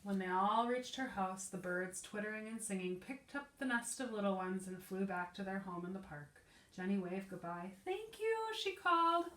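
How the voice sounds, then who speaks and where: A woman's voice: mid-range voice, animated, moderate pace, fairly bright tone. A woman preschool teacher reading a picture book aloud to young children, explaining simply and asking them questions. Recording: echoey room.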